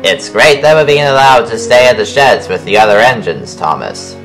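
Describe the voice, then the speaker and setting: A gentle old tram engine speaks politely, his voice rising and falling a great deal. Faint music plays behind him. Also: lowish voice, lively intonation, moderate pace, rough recording. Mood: mild.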